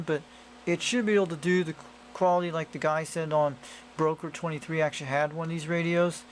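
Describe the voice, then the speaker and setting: Higher-voiced man rambling over background noise. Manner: repetitive, loosely worded explaining. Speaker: hobbyist radio reviewer. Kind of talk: casual rambling demonstration to camera. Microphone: handheld camera microphone. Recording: clearly noisy amateur camera audio.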